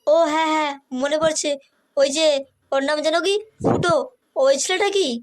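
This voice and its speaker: A woman's voice: high-pitched, normal speed, lightly acted. A woman voicing a bossy, teasing elder sister who coaxes and advises in a comic cartoon. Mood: teasing, coaxing.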